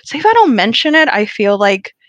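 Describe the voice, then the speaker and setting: A woman's voice: on the high side, big pitch swings, brisk, dry recording. A middle-aged woman from Puerto Rico, a podcast guest talking casually about her life and often laughing. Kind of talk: casual interview conversation.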